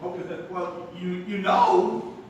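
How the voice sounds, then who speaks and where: An actor playing a flustered, incredulous nephew in a live stage comedy, stammering and exasperated. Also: male voice, high voice, flat delivery, takes it slowly. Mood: shocked, flustered. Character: flustered, incredulous young nephew, theatre-critic type. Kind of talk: stage comedy radio-theatre acting. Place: live theatre, distant microphone. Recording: roomy, noisy stage recording from far off.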